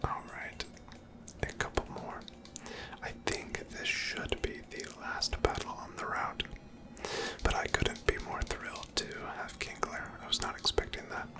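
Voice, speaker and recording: A person whispering softly and breathily, giving gentle, casual ASMR commentary while playing a video game. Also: unhurried, rough recording. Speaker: host of a gameplay series.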